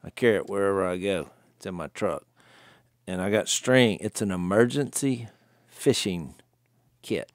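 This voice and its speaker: A man's voice: deep voice, moderate pace, no room sound. Dry-joking man at the mic. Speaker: podcast co-host. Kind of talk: casual chat. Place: wood-paneled studio room. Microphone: studio mic on boom arm, headphones.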